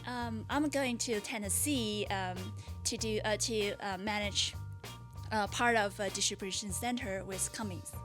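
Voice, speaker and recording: A woman, an MBA student, answering interview questions hesitantly with many pauses and fillers. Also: medium pitch, over music.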